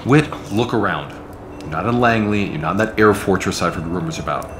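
A man plays a weary veteran spy in an audio drama, stern and protective. He speaks quickly and bluntly in a low voice, pressing for answers, in a roomy echo. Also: natural voice. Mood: stern, exasperated. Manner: blunt, probing. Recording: roomy echo with clear background noise.

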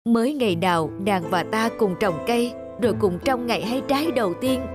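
A woman's voice: on the high side, fairly quick, natural voice. A woman with a Southern Vietnamese accent voicing a devoted young husband, tender and earnest, in a cartoon folk tale. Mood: grieving, pleading. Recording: music behind the voice.

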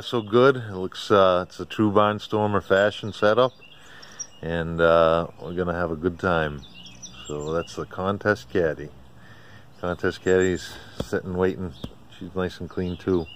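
A man narrating a casual, unscripted walkaround video, speaking in a halting, plain-spoken, colloquial way. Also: fairly low pitch. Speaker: amateur radio hobbyist narrating own video. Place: outdoors in a residential driveway. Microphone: handheld camera or phone, operator off screen. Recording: outdoor ambient noise, birdsong. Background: birds and outdoor ambience.

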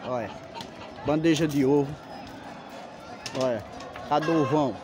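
Male market vlogger, friendly chatter with vendors, phone mic, loud crowd behind. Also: fairly high pitch, moderate pace, background noise. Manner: chatty, greets vendors, calls prices. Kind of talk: casual walking vlog. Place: outdoor street market.

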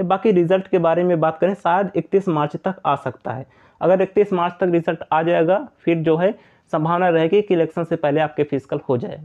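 A high-pitched man explains updates informally to his viewers. He repeats his points in a reassuring way. The audio is narrow and tinny, like a phone line. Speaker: exam news presenter.

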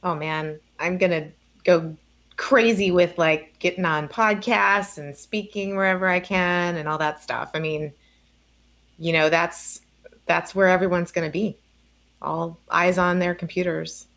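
Lowish-voiced woman in casual chat. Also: steady tone, a little slow, creaky, a bit bright. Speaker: guest.

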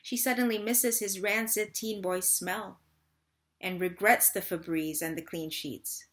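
A woman's voice: mid-range voice, fairly even intonation, a little slow, fairly bright tone, lightly acted. A woman in her thirties playing a wistful, restless mother in a dramatic third-person monologue reading. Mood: regretful. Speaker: actor in a staged reading. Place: small room at home.

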